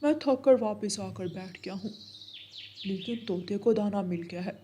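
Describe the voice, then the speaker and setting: A woman's voice: mid-range voice, normal speed, mellow, slight background noise, close to an everyday voice. A woman voicing a plain-spoken male pigeon, a curt and obedient enforcer in a cartoon story. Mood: tired. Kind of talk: Hindi cartoon story dialogue.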